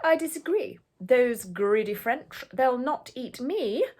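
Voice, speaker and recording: A woman's voice: on the high side, animated, slow, darkish tone. A woman doing a cool, unflappable giant snail. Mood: confident. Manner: cool and composed. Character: enormous, unflappable magic snail, male. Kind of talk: storybook reading.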